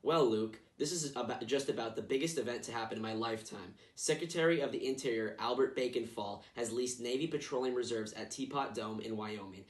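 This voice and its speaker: A man's voice: mid-range voice, a little fast, hardly any pauses. A young man playing an expert guest in a scripted news interview. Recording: echoey room, distant mic.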